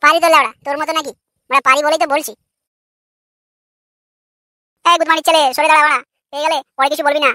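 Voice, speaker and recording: A woman's voice: high-pitched, fairly even intonation, rapid-fire, pushed and bright, no room sound, studio quality. A woman voicing a cheeky, foul-mouthed, argumentative village boy in a comic cartoon. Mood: boastful, threatening. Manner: brash, argumentative, swearing.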